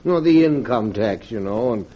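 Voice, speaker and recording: A man's voice: medium pitch, animated, normal speed, lightly acted. An actor playing an evasive wealthy man, hesitant and excuse-making, in a 1940s radio drama. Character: evasive wealthy male old friend. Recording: dull vintage radio recording.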